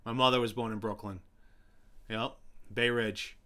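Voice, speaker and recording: A young man hosting a casual live stream, rambling with many fillers and restarts as he answers viewers, his voice getting hoarse. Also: lowish voice, steady tone, unhurried, pushed and bright. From New York City.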